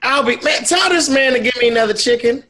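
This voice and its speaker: Man playing an excitable boy, very high pitched. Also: a little fast. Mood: haggling. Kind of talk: table read of a film script over video call.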